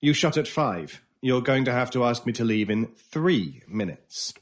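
A man's voice: mid-range voice, very animated, unhurried, big-room sound. A man playing a mysterious, ominous stranger in an old-time radio horror play, speaking with theatrical deliberation. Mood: cool, pedantic. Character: mysterious male Stranger, ominous, dry, pedantic, unhurried.

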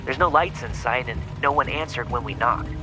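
A man's voice: medium pitch, a little fast, music in the background, lightly acted. A man playing a hesitant, apologetic lost camper on a phone call in a horror audio drama. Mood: apologetic, uneasy. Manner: hesitant, sheepish.

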